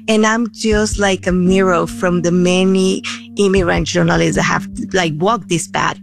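A loud woman journalist, a native Spanish speaker with a thick Latin American accent, giving earnest interview answers. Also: mid-range voice, vocal fry. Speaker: journalist guest.

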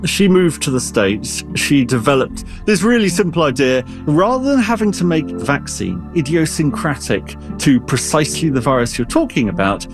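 A man's voice: fairly high pitch, sing-song, normal speed, hardly any pauses, music in the background. A man who is an expert guest, explaining science in an interview with everyday analogies and frequent restarts.

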